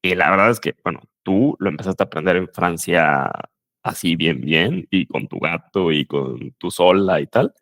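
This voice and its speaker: A man who hosts a casual interview, relaxed and full of fillers and short replies. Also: deep voice, moderate pace, no room sound. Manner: warm backchannels, relaxed filler-heavy talk. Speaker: host, language coach.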